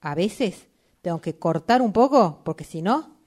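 Woman acting as protective, doubting radio-drama woman, Rioplatense accent, unhurried pace, hesitant, very varied intonation. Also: lowish voice, expressive, darkish tone. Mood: uneasy, objecting. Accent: Rioplatense Spanish. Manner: hesitant, repeats short words. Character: uneasy, protective woman who doubts and corrects. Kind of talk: radio theatre acting, workshop fiction.

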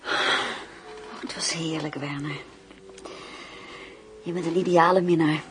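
An actress playing a flirtatious, inquisitive lover, coaxing and playfully naive in an intimate radio play. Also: female voice, sits low, steady tone, takes it slowly, loud music behind the voice. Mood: languid, tender. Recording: faint background noise.

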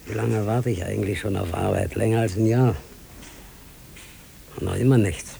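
An actor playing a desperate, weary older unemployed man in a radio play, brooding in halting, repetitive phrases. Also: fairly low pitch, fairly even intonation, gravelly, mellow. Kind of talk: German radio play acting. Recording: noisy old radio play recording.

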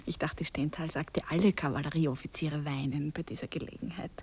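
An actress playing a worldly married woman with a secret lover, coaxing and lightly mocking in a breathy murmur, in a radio drama. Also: low-pitched, fairly even intonation, a little fast, a little mellow. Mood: teasing. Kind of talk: German radio drama acting, intimate dialogue.

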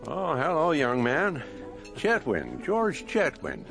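An actor playing a genial, wealthy, middle-aged American gentleman in a radio drama. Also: a little high, wildly swooping pitch, slow pace, gravelly, full character voice. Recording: music under the voice.

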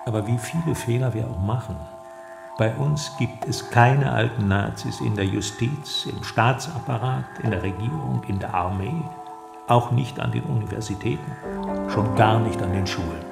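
An actor playing a stern, persuasive East German socialist official in a radio drama, measured and didactic. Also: male voice, lowish voice, creaky, echoey room, music in the background. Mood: earnest, didactic.

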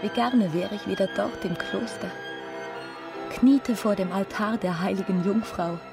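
Young provincial wife, female, mid-pitched, melancholy, restless, inward, radio play, music behind. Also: measured pace, gravelly. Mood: yearning. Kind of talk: German radio drama acting.

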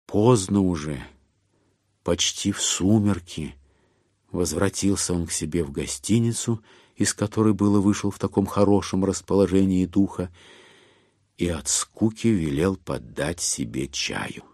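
An actor playing a wry, ironic narrator, reading literary prose in a measured way for an audio drama. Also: male voice, sits low, a little mellow, no room sound, clean studio sound.

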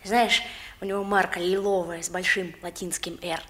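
An actress playing an earnest, eager young schoolboy in a 1960s radio drama, with a boyish delivery. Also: female voice, fairly low pitch, flat delivery, normal speed, fairly bright tone, natural voice. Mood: eager, boastful.

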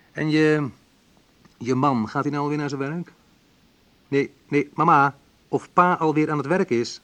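An actor playing a reflective man in a naturalistic radio drama, talking in a conversational, hesitant, wry way with a husky voice. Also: medium pitch. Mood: reassuring, patient. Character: Menno, reflective man recalling a school friend. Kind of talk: naturalistic radio drama monologue and phone talk.

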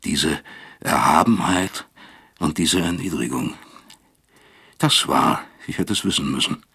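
A bitter East German professor looks back on his guilt in a radio play. His voice is extremely low and husky. He speaks deliberately, as if accusing himself. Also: pushed and bright, heavily acted. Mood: brooding, self-accusing. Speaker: first-person narrator of a radio drama. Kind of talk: confessional radio drama monologue.

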